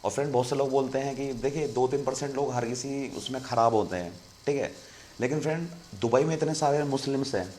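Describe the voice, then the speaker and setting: A man's voice: mid-range voice, fairly quick, darkish tone, a little hiss or hum. A man hosting an online channel, explaining in a casual chatty monologue and often calling viewers "friend". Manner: conversational, keeps saying "friend" to viewers. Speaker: YouTube channel host.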